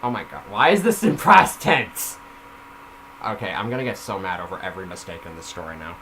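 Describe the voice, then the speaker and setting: A man with a fairly low voice reads a story aloud in an informal way, slipping between the text and his own critical commentary. The recording has clear background noise. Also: very animated, brisk, sharp tone, poor audio. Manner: informal, self-critical commentary while reading. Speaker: reader of their own story. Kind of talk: casual read-aloud of a story with off-script asides.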